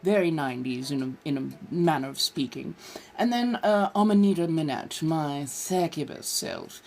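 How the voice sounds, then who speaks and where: A woman's voice: sits low, moderate pace, vocal fry, a little mellow. A woman from California chatting casually as a livestream host, putting on a posh colonial American accent with affected, genteel phrasing. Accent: put-on posh colonial American. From California, United States. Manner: affected genteel phrasing, addresses listeners as dears and darlings.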